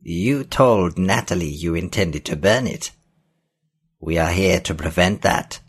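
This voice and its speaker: A man's voice: sits low, slow pace, a bit bright, slightly rough, exaggerated voice. A man voicing a frail old man in an audiobook, speaking formally with solemn, insistent authority. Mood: authoritative.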